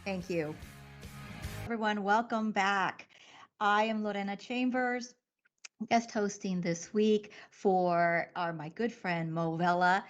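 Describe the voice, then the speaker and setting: A woman's voice: mid-range voice, takes it slowly, soft background music. A woman guest-hosting an interview show, effusive and full of praise for her guests. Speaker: guest host.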